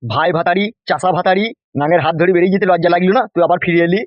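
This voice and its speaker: A man's voice: high-pitched, fairly even intonation, very fast, no room sound, highs cut off, lightly acted. A man voicing a bullying, lecherous local politician in a comic cartoon, crude and blustering. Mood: blustering anger. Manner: blustering, crude, exclaiming in repeats.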